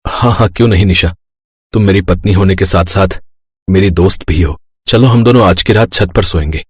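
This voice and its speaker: An actor playing a humble, tender young husband with a husky voice and an apologetic manner. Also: male voice, low-pitched, moderate pace, dry recording, studio quality. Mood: warm, agreeable. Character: humble, sorrowful young husband, an affectionate stepson.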